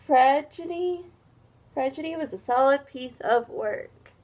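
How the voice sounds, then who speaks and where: A woman reading a picture book aloud as a teacher, pausing for halting, simple explanatory asides. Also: high-pitched, darkish tone.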